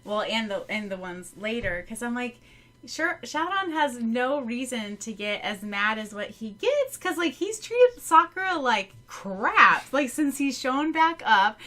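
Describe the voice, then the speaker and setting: A woman co-hosting a relaxed podcast, speaking unhurriedly in a high, bright voice. She laughs easily and reacts with gasps and exclamations. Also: a little slow. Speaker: podcast co-host. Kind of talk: casual chat.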